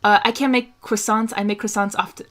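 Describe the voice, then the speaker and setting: A woman's voice: medium pitch, steady tone, brisk, a bit bright. A young woman from Hungary hosting a casual fan discussion, chatting through viewer questions with lots of laughs, fillers and restarts. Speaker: host answering viewer questions.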